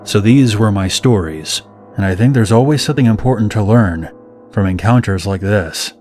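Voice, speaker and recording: A man's voice: fairly low pitch, expressive, normal speed, a little mellow, faint music behind. A man reading a scripted first-person scary story as a narrator.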